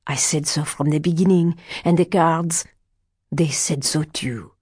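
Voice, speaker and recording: A woman plays a withered old fortune-teller man from a gothic storybook. He is sly and superstitious, speaking low and very slowly. His foreboding words break into exclamations and muttered asides. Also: fairly even intonation, darkish tone, slightly rough, no echo, studio quality. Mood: excited, gloating. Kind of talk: audiobook character dialogue.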